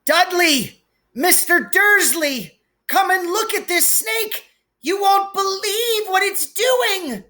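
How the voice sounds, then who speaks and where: A man voicing an excitable, amazed boy who shouts urgently in a storybook reading. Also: extremely high pitch, slow pace, pitched above the performer's own voice, full character voice. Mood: excited.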